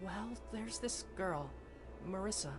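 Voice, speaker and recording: A man's voice: sits high, animated, slow, pitched above the performer's own voice. Man voicing a timid young guy over music. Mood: uneasy. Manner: hesitant, stammering. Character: timid, hesitant young male character Naoki. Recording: music playing behind the voice.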